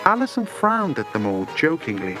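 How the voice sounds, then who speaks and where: A man's voice: a little high, huge pitch swings, moderate pace, over music. A man narrating a children's adventure story as an audiobook, in a dramatic scripted style.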